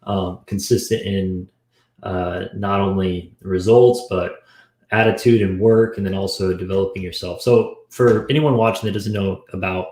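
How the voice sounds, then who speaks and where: A man's voice: sits low, steady tone, normal speed, darkish tone. A man interviewing a guest in casual podcast chat, recorded with an echoey room sound.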